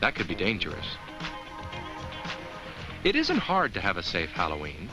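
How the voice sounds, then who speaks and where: An actor playing a kindly, earnest talking jack-o'-lantern who narrates a children's safety film with simple, reassuring explanations. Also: male voice, low-pitched, huge pitch swings, moderate pace, over music. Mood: friendly.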